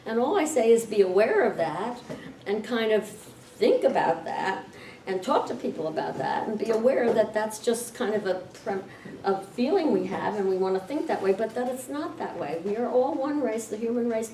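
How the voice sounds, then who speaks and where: A woman's voice: mid-range voice, expressive, moderate pace, dark tone. A woman leading a group discussion, speaking earnestly and often voicing agreement. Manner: earnest, encouraging with frequent agreement. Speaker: discussion leader. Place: meeting room with a group. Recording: echoey room, distant mic, faint noise.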